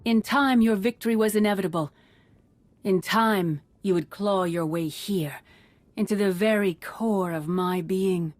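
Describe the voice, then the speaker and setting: An actress playing a wise, defiant Jedi master in a video game, composed and measured. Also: female voice, mid-range voice, a little mellow, no room sound, natural voice. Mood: calmly defiant. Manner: measured, assured. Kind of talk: dramatic video game acting.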